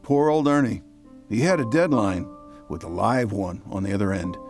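Actor as wry low-voiced storyteller. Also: male voice, moderate pace, close to an everyday voice. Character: wry, punning radio drama storyteller. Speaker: narrator. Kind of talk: scripted audio drama narration. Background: plucked guitar music.

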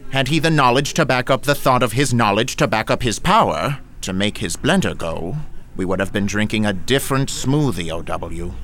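A man's voice: on the low side, fairly bright tone. A man playing a pompous lecturing academic. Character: pompous male academic book thief, grandiose. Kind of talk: audio drama acting.

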